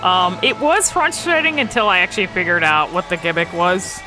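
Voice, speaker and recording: A woman's voice: fairly low pitch, very animated, normal speed, music in the background, low-quality recording. A woman giving casual, unscripted game commentary in a hesitant, meandering way. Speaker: video creator.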